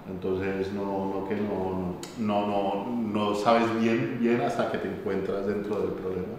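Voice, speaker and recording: A man's voice: fairly low pitch, fairly even intonation, a little slow, a little mellow, a little hiss or hum. A man in his forties with a Colombian accent from Bogotá, answering interview questions hesitantly and repeating words as he searches for them. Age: 40s. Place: bright empty classroom, indoors. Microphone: far camera mic. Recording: echoey room from far off.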